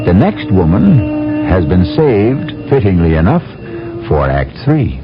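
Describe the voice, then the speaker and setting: A man's voice: on the low side, highs cut off. A man narrating a radio drama as host in a theatrical, rhetorical way. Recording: old radio broadcast with music.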